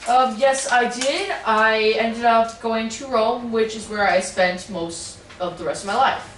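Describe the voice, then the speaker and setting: A woman's voice: a little high, a bit bright. A young woman playing a boastful, snippy old Italian painter. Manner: sarcastic, quick comebacks. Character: boastful male Renaissance painter, touchy and snippy. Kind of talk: amateur mock interview roleplay. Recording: echoey room, distant mic, faint noise.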